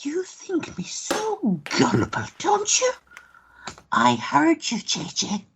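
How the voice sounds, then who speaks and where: A woman playing a jealous, sharp-tongued lover in a radio play, indignant and melodramatic. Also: sits high, wildly swooping pitch, slow pace, background noise, full character voice. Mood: bitter, resentful.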